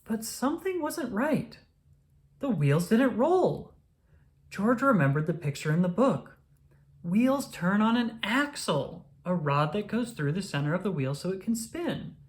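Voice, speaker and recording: A woman reading a children's story aloud, with expressive, deliberate phrasing for young listeners. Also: mid-range voice. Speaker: storybook reader. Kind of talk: children's read-aloud narration.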